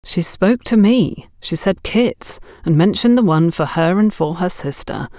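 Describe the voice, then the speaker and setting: A woman's voice: fairly low pitch, lively intonation, unhurried, mellow, no room sound, clean studio sound. Woman acting a stern, blaming supervisor. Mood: scolding. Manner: scolding, pointed.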